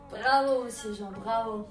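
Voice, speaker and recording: High-voiced Swiss German café waitress. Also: female voice, roomy sound. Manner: chatty, obliging, peppered with French greetings. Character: friendly café waitress, chatty with her regular. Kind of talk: radio drama dialogue.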